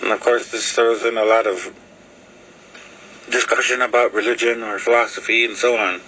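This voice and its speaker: A man whose first language is Lakota, talking casually to a video camera in halting English, often pausing with fillers as he searches for words. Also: lowish voice, fairly even intonation, sharp tone, a little hiss or hum, low-quality recording. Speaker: vlogger. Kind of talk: casual rambling talk to camera. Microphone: video camera mic.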